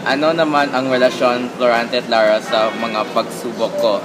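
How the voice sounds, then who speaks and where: A man's voice: medium pitch, flat delivery, moderate pace, natural voice. Overwhelmed male student played by a man, noisy recording. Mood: puzzled. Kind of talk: scripted amateur student radio drama.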